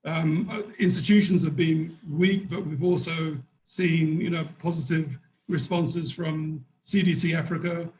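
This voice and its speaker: A man speaking off the cuff as a conference panelist, halting and repeating words as he works out his thoughts. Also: a little high, fairly even intonation, a little mellow, poor audio.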